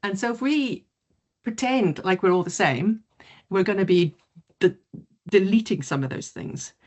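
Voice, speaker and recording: A middle-aged woman from South Africa, whose first language is not English, giving an online mindfulness presentation in a lively, quick way. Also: mid-range voice. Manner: lively, quick, laughs easily. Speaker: mindfulness teacher.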